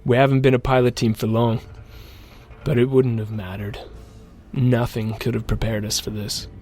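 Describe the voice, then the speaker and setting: An actor playing a shaken ranger pilot who solemnly and haltingly records his testimony in an audio drama. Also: medium pitch, flat delivery, a little mellow, soft background music, lightly acted. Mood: grave, shaken.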